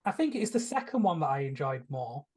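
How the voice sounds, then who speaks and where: A man's voice: a little high, big pitch swings, fairly quick, darkish tone, slightly rough. A man talking casually and giving his opinions as a panellist on a film podcast.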